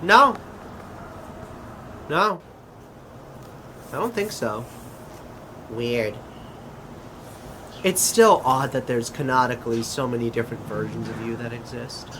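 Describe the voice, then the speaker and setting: Over a noticeable bed of noise comes a man playing a chatty interdimensional adventurer. He talks slowly and hesitantly at a middling male pitch. The delivery is conversational audio drama dialogue. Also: bright, forceful tone, rough recording. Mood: casual.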